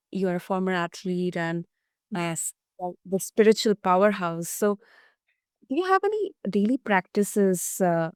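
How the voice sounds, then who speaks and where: A woman's voice: medium pitch, darkish tone, clear, resonant tone, no room sound, studio quality. A woman hosting a podcast interview over a video call, affirming and enthusiastic. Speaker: podcast host. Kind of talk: casual interview talk.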